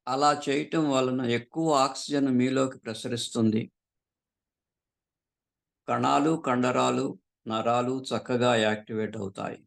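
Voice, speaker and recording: A man leading a guided meditation as a teacher, calmly and unhurriedly, pausing often between short phrases. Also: medium pitch, creaky. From southern India.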